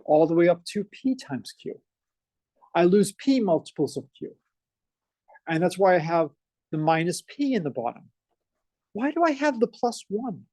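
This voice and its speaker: A male professor with a Boston accent teaching a math class, energetic and full of questions for his students. His voice is mellow and dark, recorded dry and close with little room sound. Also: high voice, moderate pace, pauses often, dry recording. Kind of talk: classroom lecture. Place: lecture classroom.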